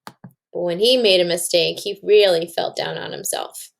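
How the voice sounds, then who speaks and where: A woman's voice: fairly low pitch, measured pace, vocal fry. A woman reading a storybook aloud to children and explaining it like a teacher, with questions to the listeners.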